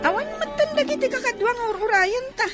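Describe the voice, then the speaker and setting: An actress playing a defiant, sulky young adult daughter in a radio drama, with a whiny, insolent way of talking. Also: female voice, extremely high pitch, lively intonation, fast talker, music in the background. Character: defiant, sulky young daughter who shirks chores and parties.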